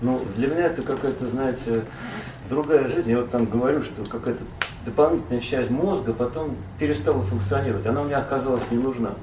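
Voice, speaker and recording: A man from Russia, a poet and translator, recalls his past as a guest in a relaxed, rambling conversation full of fillers and self-corrections. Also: medium pitch, fast, echoey room, far from the mic, background noise.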